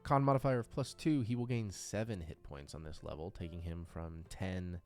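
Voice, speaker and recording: A man's voice: low voice, normal speed, darkish tone. A man giving casual, unscripted game master commentary as he works through tabletop character sheets, now and then murmuring little nonsense sounds to himself. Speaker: creator and game master of a tabletop RPG audio drama.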